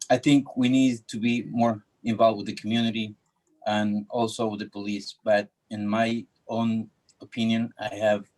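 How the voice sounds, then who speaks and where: A man's voice: fairly low pitch, poor audio. A middle-aged man from Ecuador, a native Spanish speaker with an Ecuadorian accent, making informal personal remarks at a remote public meeting.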